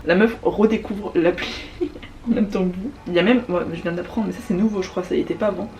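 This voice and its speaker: A woman's voice: medium pitch, fairly even intonation, fast, darkish tone, far from the mic, a little hiss or hum. A young French woman chatting casually in a vlog, demonstrating apps, full of fillers, slang and asides. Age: young adult. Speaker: YouTuber recommending apps. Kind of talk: casual vlog chat with screen demo.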